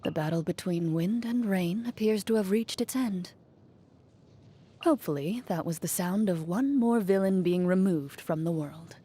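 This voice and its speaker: An actress playing a cool, composed young woman, a champion duelist in a fantasy video game, speaking in a measured, formal and restrained way. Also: lowish voice, warm tone, breathy edge. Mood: calm, polite. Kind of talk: scripted video game character voicelines.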